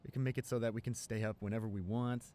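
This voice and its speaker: A man playing an excited young college boyfriend in an improvised ASMR roleplay, gushing and halting, repeating himself in sentimental amazement. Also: medium pitch, natural voice. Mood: carefree, excited.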